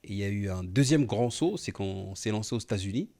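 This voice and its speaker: A man in his thirties, born in Portugal and raised in France, co-hosting a podcast and telling his life story in a relaxed, familiar way with lots of fillers. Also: lowish voice, brisk. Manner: relaxed, familiar, frequent fillers and repeated "tu vois". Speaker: podcast co-host, entrepreneur. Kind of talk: casual conversational storytelling.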